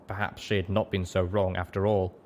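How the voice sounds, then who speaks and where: A man's voice: deep voice, flat delivery, brisk, a little mellow. A man reading scripted first-person story narration as an audiobook narrator.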